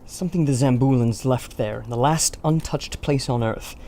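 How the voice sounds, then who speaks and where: An actor playing an earnest, easily exasperated scholarly historian in an adventure radio serial. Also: male voice, medium pitch, normal speed, dark tone, a little hiss or hum, close to an everyday voice. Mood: grave.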